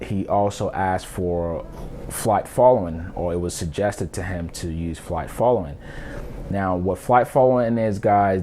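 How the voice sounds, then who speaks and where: A man with a low voice gives explanatory commentary in an informal, instructive way, talking straight to viewers. There is faint noise behind him. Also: mellow. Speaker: vlogger and pilot explaining air traffic audio.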